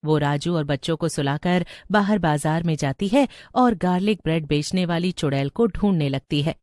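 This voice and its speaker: Woman reading story narration, slightly low voice, dry close sound. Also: moderate pace, a little mellow, no echo.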